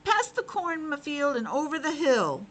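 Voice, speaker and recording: A woman's voice: high-pitched, big pitch swings, unhurried, voice pushed up. A woman voicing a small, earnest boy piglet in a children's storybook, childlike and plaintive.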